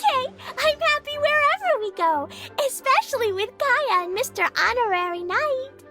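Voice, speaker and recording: A woman's voice: very high-pitched, sing-song, normal speed, sharp tone, soft background music, full character voice. A woman voicing a cheerful, eager, innocent little girl, a video game character. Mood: cheerful, reassuring.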